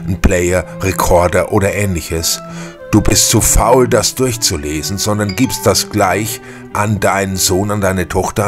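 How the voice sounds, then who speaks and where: A middle-aged man with a husky voice hosts a podcast monologue, chatting informally and giving listeners direct advice. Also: sits low. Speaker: podcast host. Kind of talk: casual spoken podcast monologue addressed to listeners.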